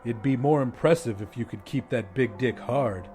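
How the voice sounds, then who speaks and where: A man voicing a gruff, laid-back, sardonic male smoker in an audiobook, with blunt casual talk. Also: normal speed, music in the background, lightly acted. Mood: mocking. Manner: low-key, blunt, casual.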